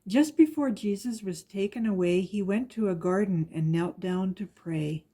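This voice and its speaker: Mellow, slightly husky woman reading aloud. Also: mid-range voice, normal speed. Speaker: guest story reader. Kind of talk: reading a rhyming children's picture book aloud.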